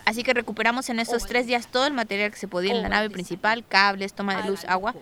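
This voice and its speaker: A woman's voice: medium pitch, flat delivery, fast, crowd noise. A woman giving conversational first-hand testimony as a resident recounting events.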